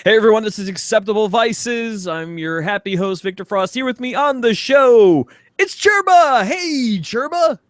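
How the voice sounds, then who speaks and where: Guy hosting a comedy podcast, teasing and chatty, super expressive pitch, dry recording. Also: moderate pace, no room sound. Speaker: podcast host. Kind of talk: casual comedic banter and dramatic readings.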